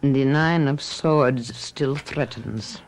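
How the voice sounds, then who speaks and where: Slow, ominous fortune-telling witch. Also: a bass voice, exaggerated voice. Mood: solemn, ominous. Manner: measured, ominous, commanding. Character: solemn fortune-telling witch, calm cult leader. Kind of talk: radio drama acting. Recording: radio drama with music behind.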